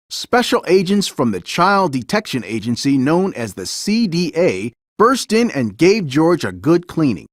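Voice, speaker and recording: A man reading a children's story aloud as scripted narration, with an expressive, dramatic storytelling delivery. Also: medium pitch, dry recording, clean studio sound. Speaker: storybook reader.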